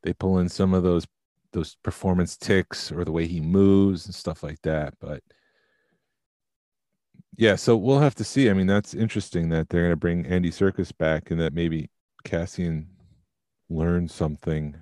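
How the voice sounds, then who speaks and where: A man co-hosting a podcast, chatting casually and thinking out loud about films. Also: low voice, normal speed, no room sound, studio quality. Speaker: podcast co-host.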